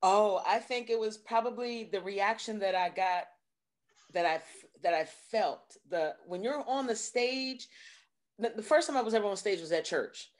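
A woman's voice: mid-range voice, moderate pace. A middle-aged woman chatting as an interview guest over a video call from home, reflective and often rewording herself as she goes. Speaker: guest, stage actress. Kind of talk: casual interview chat. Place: room at home, indoors.

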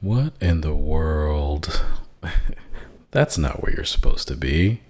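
A man's voice: lively intonation, slow, a little mellow. A man at home practicing voice acting, reading the same short lines over and over in different emotions, often stammering and restarting. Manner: stammering restarts, swinging pitch between takes. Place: home room. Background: dogs, keyboard clicks, chat pings.

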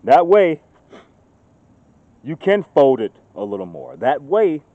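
A man in his thirties talking casually to a handheld phone camera outdoors in the woods, getting loud and excited as he shows off his work. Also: medium pitch, slow, dry recording. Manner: chatty, gets loud and excited showing off. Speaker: outdoors vlogger. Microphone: phone camera held at arm's length. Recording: dull lo-fi phone audio outdoors.

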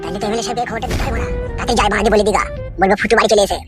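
A nervous village tattletale from a comedy cartoon , acted by a man, confiding and grumbling in a rural West Bengal accent. He talks very quickly, with music playing behind him. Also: sits high, fairly even intonation, close to an everyday voice. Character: nervous gossiping village man, wary political hanger-on.

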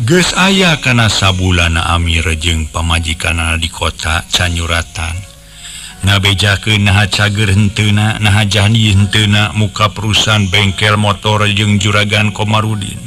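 Low-voiced Sundanese male storyteller over music. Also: sharp tone. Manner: conversational, addresses listeners, playful rhetorical questions. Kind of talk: oral folk-tale storytelling with asides to listeners. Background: background music.

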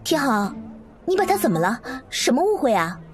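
A woman's voice: high voice, big pitch swings, normal speed, music in the background. A woman playing a petulant, nosy young female student in an audio drama, scolding and coaxing. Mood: curious, coaxing. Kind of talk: Chinese audio drama acting.

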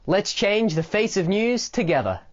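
A woman delivers a scripted channel promo, upbeat and animated. The recording sounds slightly muffled. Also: mid-range voice, normal speed. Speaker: promo announcer.

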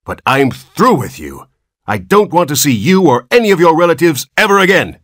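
A man's voice: on the high side, big pitch swings, moderate pace, breathy edge, voice pushed up. A man voicing an irritable, harsh old family doctor who speaks sharply and cuttingly, raised and indignant.

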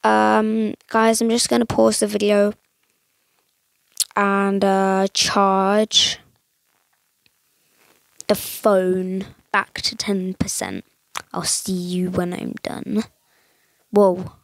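A young woman chatting casually over a mobile game, rambling with lots of fillers, her voice a little croaky today. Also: mid-range voice, level tone, extremely slow, pauses often, dry recording. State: slightly croaky voice. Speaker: gaming channel host. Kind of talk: casual gameplay commentary.

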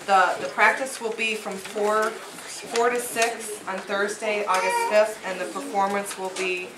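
A woman's voice: mid-range voice, little pitch movement, a little slow. Woman making announcements in a noisy echoey room. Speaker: library program leader and organizer. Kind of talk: informal announcement to a group. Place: indoor library meeting room. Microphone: distant camera microphone. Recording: echoey room, loud background noise.

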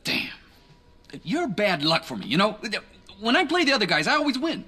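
A shrewd, short-tempered triad boss in a game cutscene. His voice is very bright and cutting, with lively swoops in pitch. He is articulate and commanding, with an exasperated edge. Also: normal speed, breathy edge, exaggerated voice. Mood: wry. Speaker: crime boss. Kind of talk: video game cutscene acting.

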